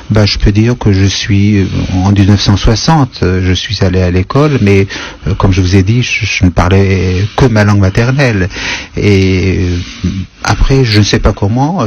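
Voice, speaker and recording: Man in his 60s, from northeast Syria, Kurdish native, low voice, hesitant, dull radio sound with faint hiss. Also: a little mellow. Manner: thoughtful, hesitant with many pauses. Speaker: guest writer. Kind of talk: radio interview.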